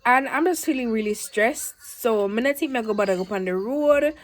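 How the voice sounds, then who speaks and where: A woman's voice: fairly high pitch, fairly quick. An eighteen-year-old woman with a Jamaican accent, chatting casually to her vlog camera and drifting into patois as she rambles. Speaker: vlogger.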